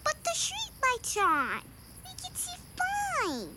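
A woman's voice: very high-pitched, huge pitch swings, slow, heavy background noise, exaggerated voice. A woman playing a playful, cheeky little girl in an audio drama, with a teasing sing-song way of talking.

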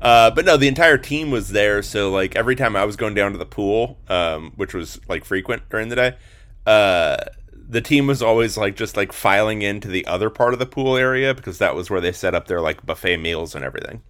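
A slightly hoarse man with a lowish voice hosts a laid-back sports podcast. He rambles through stories with frequent fillers and restarts, keeping the tone easy and conversational. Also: animated, a little fast, a bit bright. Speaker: podcast host and sports reporter. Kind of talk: casual sports podcast chat.

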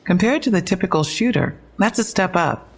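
Female police detective, audio drama: gravelly edge, low-key factual briefing, dry humor. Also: animated, a little fast. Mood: matter-of-fact, wry. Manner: conversational briefing, wry asides. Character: matter-of-fact young female police detective, dryly wry.

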